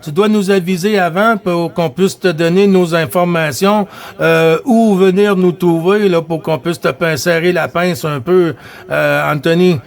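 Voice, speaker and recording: A man's voice: high-pitched, steady tone, unhurried, vocal fry. A man with a Quebec French accent chatting casually as he runs a CB radio round, repeating himself as he relays. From Quebec, Canada. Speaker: CB radio operator running the round.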